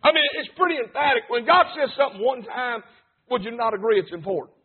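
A man's voice: very high voice, animated, fast talker, husky. A man preaching an emphatic revival sermon, punctuating lines with "hey" and "amen". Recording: tinny phone-like sound.

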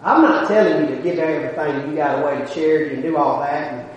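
A man's voice: a little high, quick pace, lo-fi sound. A man preaching a sermon, emphatic and folksy, his volume swelling and dropping between points. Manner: folksy, plain-spoken asides. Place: church sanctuary. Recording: echoey hall with long reverb.

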